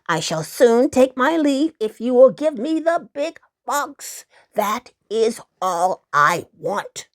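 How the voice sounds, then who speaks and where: A woman's voice: on the high side, sing-song, slow pace, few pauses, no echo, studio quality. A woman voicing a greedy, shameless old woman from a storybook, curt and demanding. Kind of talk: storybook character reading.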